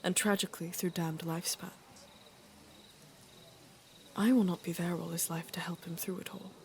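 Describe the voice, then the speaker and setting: A woman performs an aging monarch of a fantasy court, wry and careworn. Her voice sits low with a gravelly fry, and she phrases things deliberately, like a ruler used to being obeyed. There is faint noise behind her. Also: fairly even intonation, a little mellow, natural voice. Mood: grave, worried. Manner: measured, deliberate, dryly teasing. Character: aging queen and mother, worried, wry, regal. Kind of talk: dramatic fantasy court audio drama.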